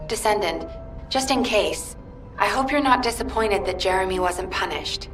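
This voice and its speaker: A woman's voice: mid-range voice, expressive, a bit bright, faint music behind, natural voice. Composed female military officer voiced by an actress. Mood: earnest, confiding. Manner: formal, measured, earnest briefing. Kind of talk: video game mission briefing.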